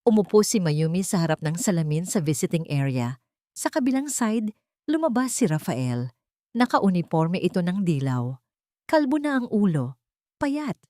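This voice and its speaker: Filipino lady reading a dramatic story, kinda low and soft-toned, super dry sound. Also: big pitch swings, moderate pace, no echo. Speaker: story narrator. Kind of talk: dramatic scripted story narration.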